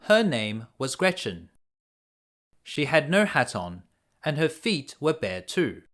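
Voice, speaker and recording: A man reading a children's fairy tale aloud as a bedtime storyteller, in a simple, deliberate way. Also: medium pitch, sing-song, fairly bright tone.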